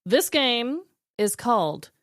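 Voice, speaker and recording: A woman with a fairly high voice hosts an informal podcast conversation. She is agreeable and reacts with exclamations. The sound is dry and close. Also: very animated, slow pace, fairly bright tone, dry recording. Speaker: podcast host. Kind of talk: casual chat.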